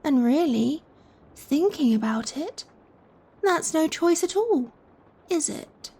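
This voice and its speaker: A woman playing a gentle, possessive giant vampire in an ASMR roleplay, coaxing and softly commanding the listener. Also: high voice, animated, exaggerated voice. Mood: reassuring, persuasive. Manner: slow, coaxing, softly commanding, breathy sighs and chuckles.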